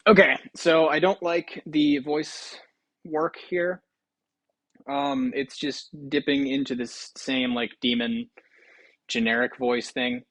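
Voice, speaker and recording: A man's voice: mid-range voice, steady tone, takes it slowly, a bit bright, no room sound. Casual rambling man on a dry mic. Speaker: video host ranking game voice lines.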